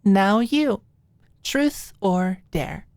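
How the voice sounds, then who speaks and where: A woman's voice: mid-range voice, expressive, very slow, a little mellow, no echo, voice pushed up. A woman voicing a bubbly, tipsy, teasing young woman in audiobook dialogue, with a giggly, playful manner.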